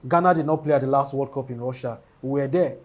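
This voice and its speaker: A Nigerian man with a Nigerian accent giving measured, matter-of-fact sports opinions as a co-host. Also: medium pitch. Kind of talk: casual studio sports chat.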